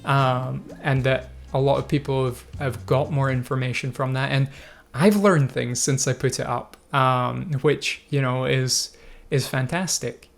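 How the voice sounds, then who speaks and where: A man's voice: medium pitch, steady tone, unhurried, vocal fry, faint music behind. A man hosting his own video, talking through viewer comments in a thoughtful, hesitant way with many fillers and restarts. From Scotland. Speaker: video host. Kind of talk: casual reflective commentary.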